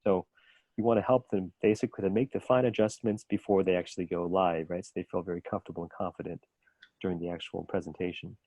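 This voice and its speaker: A man coach talking through a work idea in a measured, tentative way. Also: sits low, steady tone, warm tone, no room sound. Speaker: business English coach. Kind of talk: casual work conversation.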